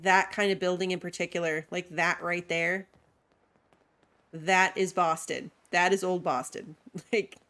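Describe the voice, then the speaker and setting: A woman's voice: on the low side, a bit bright. A woman streaming a video game, chatting casually and rambling with lots of "like". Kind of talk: casual gameplay commentary.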